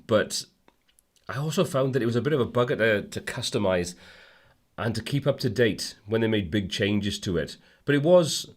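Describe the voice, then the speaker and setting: Man, slightly low voice, hoarse from a cold, rambling, friendly livestream host. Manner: rambling, friendly, many fillers and restarts. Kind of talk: casual livestream chat reading viewer comments.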